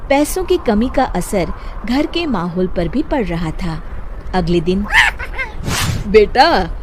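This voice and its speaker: A woman's voice: fairly low pitch, mellow, slight background noise. A woman narrating a scripted moral story as the storyteller.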